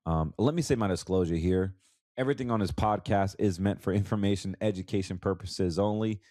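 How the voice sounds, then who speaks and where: Deep, congested American man. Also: brisk, mellow, no echo, clean studio sound. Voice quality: stuffy nose, congested. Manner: emphatic, repeats words for emphasis. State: congested from allergies. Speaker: podcast host and financial advisor. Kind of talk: solo podcast commentary, conversational.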